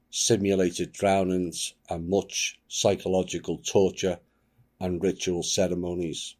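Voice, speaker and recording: A man hosting his channel, reading a submitted account aloud with a grave, measured delivery. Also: deep voice, a little mellow. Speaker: channel host.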